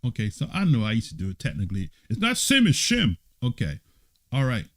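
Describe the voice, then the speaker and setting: A man's voice: mid-range voice, huge pitch swings, brisk, fairly bright tone. A man serving as an elder, teaching an informal Bible study. He reads scripture aloud, explains it in a conversational way, and keeps checking in with "right?" and "okay?". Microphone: streamed online talk.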